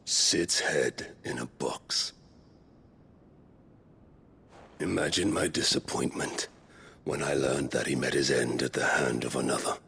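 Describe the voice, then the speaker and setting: A man playing a menacing, mocking warlord villain in a video game, theatrical and gravelly, with deliberate, taunting delivery. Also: rumbling low, fairly even intonation, a bit bright, heavily acted. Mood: vengeful, bitter. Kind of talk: dramatic video game acting.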